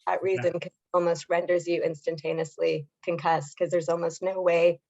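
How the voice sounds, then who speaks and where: A woman journalist with a hoarse voice, giving clear and articulate interview answers. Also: lowish voice, little pitch movement, normal speed, a little mellow, highs cut off. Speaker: journalist guest.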